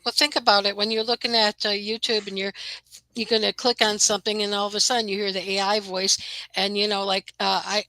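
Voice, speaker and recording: A woman with a thick Midwestern accent chats freely, circling back and restarting her sentences as she tells a story. Her voice is bright and sharp, and it sounds dry and close to the mic. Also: medium pitch, flat delivery, a little fast, no room sound, poor audio. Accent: Midwestern American. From American Midwest. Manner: chatty storyteller, many restarts and "you know". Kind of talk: casual group chat.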